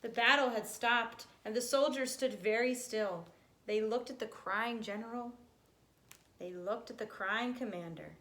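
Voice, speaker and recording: A woman's voice: fairly high pitch, measured pace, sharp tone, a little hiss or hum. A woman reading a children's storybook aloud. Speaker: storybook reader. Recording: roomy, echoey home recording.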